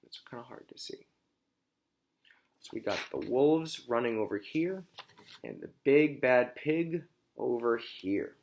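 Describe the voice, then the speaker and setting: A man reading a children's storybook aloud like a teacher, expressively, pausing to explain and ask questions. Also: mid-range voice, lively intonation, gravelly, darkish tone.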